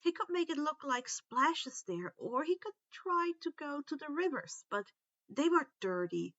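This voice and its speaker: High-pitched woman reading a story, dull recording. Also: very animated, unhurried, a bit bright, no echo. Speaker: audiobook-style reader of fan fiction. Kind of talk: expressive scripted story narration.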